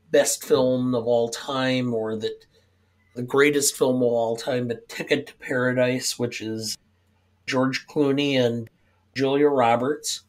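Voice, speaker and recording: An older man from the upper Midwest, co-hosting a podcast in casual chat, his voice hoarse from a cold and his talk rambling with hesitant fillers. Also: mid-range voice. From upper Midwest of the United States. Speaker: co-host.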